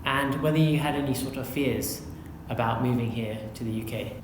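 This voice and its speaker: A man's voice: medium pitch, normal speed, noisy background. A young man in his twenties hosting a casual vlog and asking his guests questions. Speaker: vlog host, medical student. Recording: echoey room, faint ambience.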